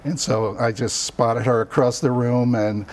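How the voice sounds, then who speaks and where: A middle-aged man from Cuba who grew up near New York, telling personal stories casually and a little haltingly as an interview guest in a TV studio. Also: mid-range voice, fairly even intonation, darkish tone. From born in Cuba, raised in the New York area from childhood.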